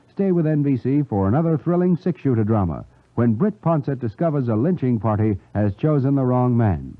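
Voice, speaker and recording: An American man reading network promos as a 1950s radio announcer, with polished, deliberate delivery. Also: medium pitch, no echo. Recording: dry, narrow-band 1950s radio broadcast.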